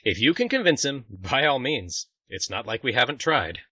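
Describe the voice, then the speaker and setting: A man playing an earnest, good-natured young prince, considerate and measured, in a fantasy audio drama. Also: mid-range voice, sing-song, pushed and bright, husky, no room sound, exaggerated voice. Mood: good-humoured.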